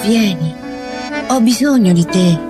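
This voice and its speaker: A woman's voice: mid-range voice, expressive, slow. A woman playing a young sister who pleads longingly in a poetic radio drama. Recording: loud music under the voice.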